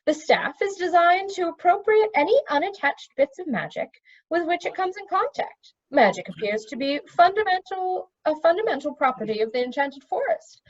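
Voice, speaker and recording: Storybook magician, male, pedantic and long-winded, read by a woman; high, swooping delivery, slightly muffled recording. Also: animated, moderate pace. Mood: pedantic explaining. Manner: pedantic, precise wording.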